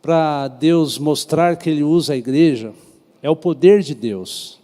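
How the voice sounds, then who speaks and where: A man's voice: a little high, a little slow, mellow. Man teaching a church class in a reverberant hall. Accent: Brazilian Portuguese. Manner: conversational, asks the class questions. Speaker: pastor teaching Sunday school class. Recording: echoey hall with long reverb.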